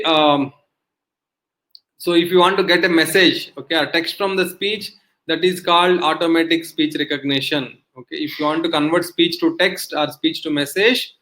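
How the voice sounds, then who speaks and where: A man's voice: a little high, a bit bright, poor audio. A middle-aged man giving an online technical lecture, explaining step by step with frequent fillers and checks of "okay". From India.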